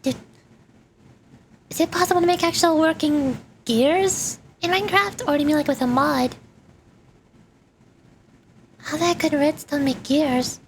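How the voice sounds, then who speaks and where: A woman's voice: high voice, a little slow, long pauses, a little hiss or hum. A woman livestreamer chatting casually as she plays a game, with a playful, rambling manner. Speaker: VTuber game streamer. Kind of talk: casual livestream chat while gaming. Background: game music.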